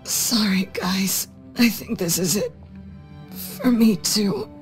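A woman's voice: mid-range voice, slow, soft background music, close to an everyday voice. An actress playing a tough, resolute young woman warrior in a video game, blunt and weary. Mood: weary resignation. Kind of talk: dramatic video game voice acting.

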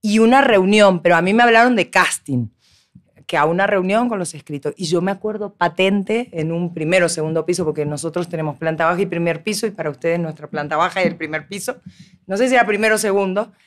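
A middle-aged woman from Argentina with an Argentine Spanish accent, talking expressively as a guest in a small podcast studio on a boom-arm mic. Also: fast talker. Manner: expressive, animated storytelling, frequent laughs. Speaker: guest actress. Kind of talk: casual podcast conversation.

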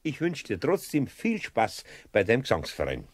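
A man's voice: medium pitch, huge pitch swings, normal speed, husky. An actor playing a good-natured older craftsman with a Bavarian accent, firm but kindly, in a children's radio play. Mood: calm, kindly.

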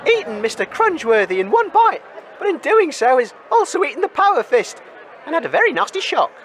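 A man's voice: extremely high pitch, sing-song, brisk, a bit bright, full character voice. A man playing a flustered, hesitant wasteland radio reporter giving live commentary in a comedy radio drama. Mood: alarmed. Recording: noisy crowd ambience behind.

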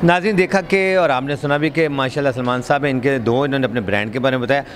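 A man's voice: medium pitch, fast talker. A man in his forties with a Pakistani accent, interviewing on location as a TV reporter. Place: large indoor expo hall. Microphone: handheld reporter microphone. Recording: busy expo hall chatter behind.

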